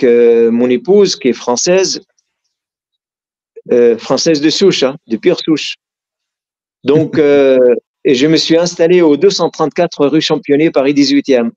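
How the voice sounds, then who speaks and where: A man's voice: fairly high pitch, a little mellow, muffled recording. A middle-aged man from Syria with an Arabic accent, telling his life story in an interview. He is earnest and often stops and restarts his sentences. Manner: frequent restarts and hesitations, earnest.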